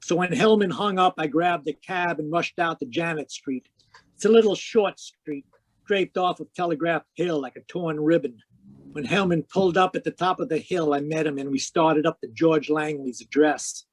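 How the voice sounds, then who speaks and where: An actor playing a world-weary, wisecracking private detective in 1940s radio noir style. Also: male voice, fairly high pitch, moderate pace, dry recording, close to an everyday voice, low-quality recording. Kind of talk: 1940s radio noir acting, first-person narration. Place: home room, live video call performance.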